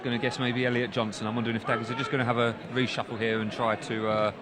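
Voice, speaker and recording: A man's voice: mid-range voice, little pitch movement, very fast. A man working as co-commentator, giving analysis of replays and the state of a football match. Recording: loud crowd noise behind commentary. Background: stadium crowd.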